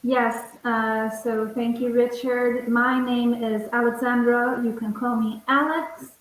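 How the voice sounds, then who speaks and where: A woman who is a corporate English teacher, co-hosting an online lesson and calmly breaking words down for learners. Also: a little high, slow, slight background noise. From half American, half Italian. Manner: calm, explanatory, slightly formal. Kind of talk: teaching webinar, explaining words. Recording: very echoey room.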